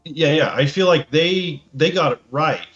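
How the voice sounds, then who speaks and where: Male podcast co-host, casual and halting, repeats phrases, slightly lo-fi recording. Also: a little high, a bit bright. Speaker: podcast co-host reacting to a video. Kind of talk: casual chat.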